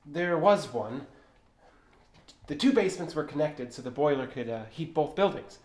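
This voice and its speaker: A man's voice: fairly high pitch, expressive, normal speed, a little mellow, natural voice. An actor playing an earnest, touchy man exploring an old building, stammering a little in naturalistic audio drama dialogue. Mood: nervous, eager. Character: earnest, touchy male urban explorer telling local legends. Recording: echoey hollow room sound.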